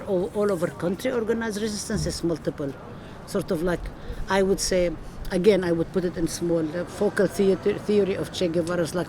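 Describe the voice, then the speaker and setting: A woman from Palestine, a professor being interviewed, speaking earnestly and emphatically in conversation, with frequent restarts. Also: fairly low pitch. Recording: outdoor recording with street noise.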